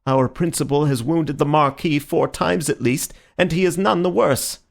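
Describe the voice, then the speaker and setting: Man doing an indignant doctor, slightly raised pitch, gravelly, forceful. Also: normal speed. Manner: protesting, forceful. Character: indignant, fierce male doctor.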